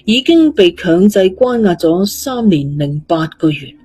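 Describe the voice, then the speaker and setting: Male storyteller, Hong Kong Cantonese accent, mellow and smooth-edged but slightly husky, suspense audiobook over faint music. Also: high-pitched, sing-song, measured pace. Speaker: audiobook narrator. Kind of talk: dramatic Cantonese horror novel narration.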